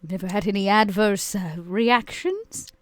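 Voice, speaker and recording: A woman playing an aloof, haughty female vampire in a tabletop game, speaking in a deliberate, arch way. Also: medium pitch, dry recording, lightly acted. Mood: aloof, probing. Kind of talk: in-character tabletop roleplay.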